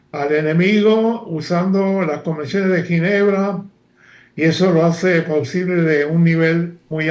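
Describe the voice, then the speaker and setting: An older man from Argentina, a former professor speaking as a guest analyst in a halting, lecture-like way with many fillers and restarts. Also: high voice, echoey room, lo-fi sound. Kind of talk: lecture-like interview talk.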